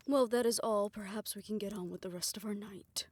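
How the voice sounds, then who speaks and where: An actress playing a composed, authoritative aunt and family elder in an audio roleplay drama, speaking in a measured, formal, stern way. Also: female voice, medium pitch, steady tone, a little mellow, breathy edge, lightly acted. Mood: polite.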